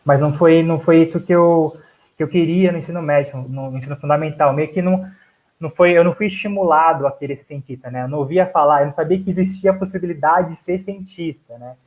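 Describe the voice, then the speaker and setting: Thirties man, fairly high voice, loose hesitant storytelling, debate panelist. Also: fast talker, darkish tone, low-quality recording. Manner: hesitant, many restarts and fillers. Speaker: physics PhD student and council candidate. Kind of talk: casual spoken life story in a debate.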